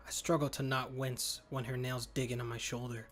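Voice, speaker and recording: A man's voice: medium pitch, little pitch movement, normal speed, faint music behind. A man reading a horror visual novel aloud in first person, earnest and stumbling now and then over words. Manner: earnest, muttering inner monologue with slips. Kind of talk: first-person story narration.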